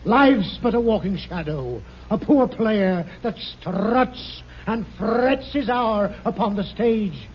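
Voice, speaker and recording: An actor playing a gruff, vain older male boss with pompous, hammy delivery in old-time radio comedy. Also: sits high, expressive, takes it slowly, few pauses. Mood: hammy, boastful. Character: blustery, vain older male boss, married twenty-four years. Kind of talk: 1940s radio comedy acting. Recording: dull, hissy vintage radio recording.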